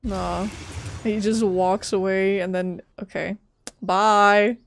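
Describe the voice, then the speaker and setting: A woman's voice: medium pitch, fairly even intonation, slow, creaky. A woman giving casual, chatty live commentary as a game streamer, full of offhand asides.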